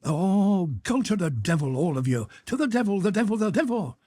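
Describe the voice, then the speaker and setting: A harried old count, as a male reader puts him on, blustering and exasperated, his voice swinging widely in pitch. It sounds husky, close and dry. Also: expressive, slow pace, dry recording. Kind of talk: audiobook character voice.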